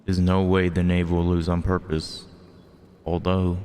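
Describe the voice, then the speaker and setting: A low-voiced man makes a casual gaming video. He reads character lines aloud and drops in offhand commentary, with a long reverb around his voice. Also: completely flat delivery, measured pace, a little mellow, soft background music. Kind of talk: reading game dialogue aloud, casual playthrough commentary.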